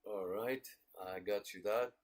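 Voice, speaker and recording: A man's voice: on the low side, fairly even intonation, unhurried. Friendly man hosting a radio check-in. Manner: friendly, thanking and greeting listeners. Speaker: host of a radio club check-in net. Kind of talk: casual livestream roll call of check-ins.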